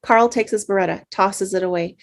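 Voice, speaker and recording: A woman's voice: mid-range voice, brisk. Woman reading stage directions.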